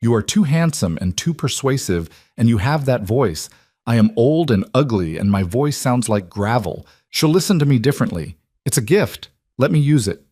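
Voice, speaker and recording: A man voicing a blunt male crime boss in his sixties, gravelly and calm in a plain-spoken, lecturing way. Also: medium pitch, normal speed, no echo, clean studio sound, close to an everyday voice. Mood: wry. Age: 60s.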